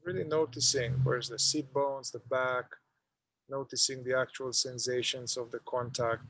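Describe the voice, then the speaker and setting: A man leading a guided meditation, speaking in an unhurried, halting way with long pauses. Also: mid-range voice, fairly even intonation, fairly bright tone, no echo, rough recording.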